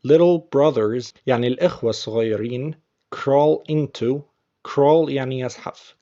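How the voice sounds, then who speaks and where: A man's voice: mid-range voice, mellow, dull audio. Patient Egyptian man teaching English. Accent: Egyptian Arabic. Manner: patient, repeats phrases slowly before explaining. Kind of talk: explaining film lines in a language lesson.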